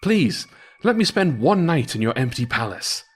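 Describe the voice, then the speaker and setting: A man's voice: mid-range voice, very animated, moderate pace, breathy edge. A man voicing a bold, cocky discharged Russian soldier in a folktale, speaking confidently. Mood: bold, insistent.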